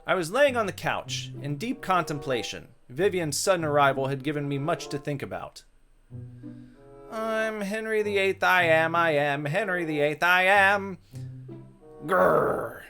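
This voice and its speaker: A man's voice: on the high side, expressive, unhurried, bright, forceful tone, faint music behind, pitched above the performer's own voice, heavily acted. A man voicing a cocky, lecherous male ghost in a grumbling, theatrical reading of a visual novel. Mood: scheming.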